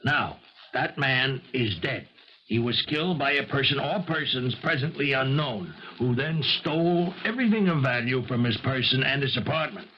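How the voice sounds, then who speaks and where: An actor playing a plodding, hesitant police lieutenant in a 1970s radio drama, methodical and slightly husky. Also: male voice, medium pitch, lively intonation, slight background noise, lightly acted. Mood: patient, insistent. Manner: halting, methodical, full of hems and haws. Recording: dull 1970s radio broadcast recording.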